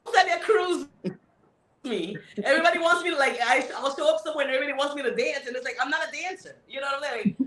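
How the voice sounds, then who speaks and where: Miami woman on a panel, kinda high voice, rambly, lots of "like" and "you know", echoey room. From United States. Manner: animated, rambling, many fillers and asides. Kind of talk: casual panel discussion. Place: indoor panel event.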